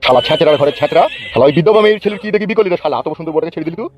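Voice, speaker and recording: A man playing rapid-fire bullying village politician. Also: a little high, lively intonation, close to an everyday voice. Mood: angry, threatening. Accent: rural West Bengal Bengali. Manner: hectoring, threatening, boastful. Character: bullying, foul-mouthed male village politician. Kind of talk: comic cartoon dubbing.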